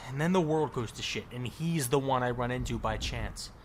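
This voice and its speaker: A man's voice: medium pitch, moderate pace, a little hiss or hum, natural voice. A man playing a bitter, regretful survivor in a zombie audio drama, sarcastic and slangy but caring underneath. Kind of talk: audio drama acting, casual profane dialogue.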